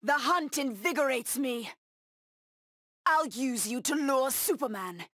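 An actress voicing a fierce, bloodthirsty feline huntress in a video game, with a menacing, haughty delivery. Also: female voice, high-pitched, animated, slow pace, bright, forceful tone, no room sound, clean studio sound, full character voice. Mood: fierce, exultant. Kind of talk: video game voice acting, short combat taunts.

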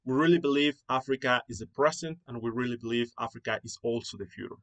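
A man's voice: medium pitch, fast talker. A man from Mexico with a Mexican Spanish accent, hosting a live online presentation as founder of an academy, earnest and repetitive with many fillers and rephrasings.

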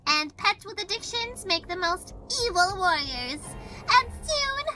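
A woman's voice: very high-pitched, big pitch swings, measured pace, music in the background, full character voice. A woman voicing a gleeful, commanding cartoon villain bent on ruling the world, gloating in a grand theatrical way. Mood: grandiose. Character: megalomaniac villain with zombie army plan, gleeful and commanding.

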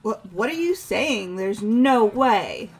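A woman's voice: medium pitch, lively intonation, slow, breathy edge, faint music behind, exaggerated voice. A woman voicing a loyal, emotional teenage girl in a visual novel game, pleading and stammering. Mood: disbelieving.

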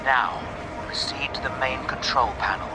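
A man's voice: medium pitch, moderate pace, close to an everyday voice. An actor playing a stern, haughty male inquisitor in a video game, giving clipped, imperious orders. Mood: commanding. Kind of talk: dramatic video game acting. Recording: loud game music over voice.

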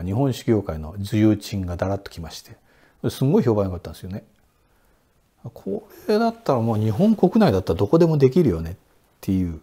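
A man in his sixties speaks in a low, dark and somewhat husky voice. He explains at an easy pace and pauses on fillers as he answers interview questions. He is recorded seated indoors in a quiet room. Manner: relaxed and explanatory, many fillers. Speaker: sake brewery director. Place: indoor Japanese room with sliding doors.

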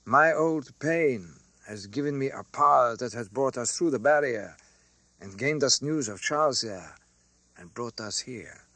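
A proud old doctor played by a male reader in a classic tale. He speaks coolly and confidently in a measured, husky voice with wide swings of pitch. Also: lively intonation, sharp tone, no echo. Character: cool, bold old doctor, proud former prisoner.

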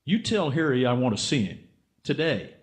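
A man's voice: medium pitch, very animated, normal speed, natural voice. A man playing an earnest, stubborn American writer in a radio drama, blunt and insistent. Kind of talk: radio drama acting and narration.

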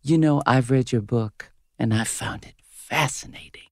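A woman in her fifties playing a crude, cynical radio host with a smoker's gravelly voice in a comedy audio drama. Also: a bass voice, unhurried, a little mellow, dry recording, studio quality. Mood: syrupy on-air. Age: 50s. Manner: brash, foul-mouthed, switching to syrupy on air. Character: crude, cynical, hard-bitten 57-year-old female radio host.

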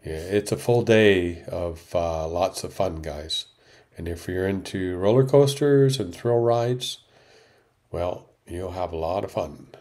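Low-voiced Canadian-born male travel vlogger in his fifties, stuffy nose, keeps calling viewers guys. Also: takes it slowly, darkish tone. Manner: chatty, addresses viewers as "guys". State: stuffed up from a cold. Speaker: vlog host. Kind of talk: travel vlog voiceover and chat.

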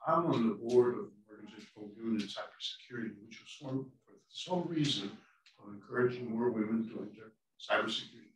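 A man's voice: medium pitch, a bit bright. Hesitant male panel moderator. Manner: hesitant, many fillers. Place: conference room. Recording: echoey room from far off, faint noise.

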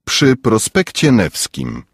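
A man's voice: on the low side, wildly swooping pitch, slow, husky, dry recording, clean studio sound. A man announcing and reading phrases for a scripted language course. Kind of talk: scripted language lesson narration.

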